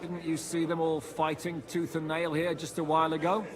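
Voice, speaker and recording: An actor playing a plain-spoken, cynical military commander in a medieval video game, blunt and sardonic. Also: male voice, on the high side, monotone, normal speed, lightly acted. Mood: sardonic, frank. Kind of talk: dramatic video game voice acting. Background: crowd.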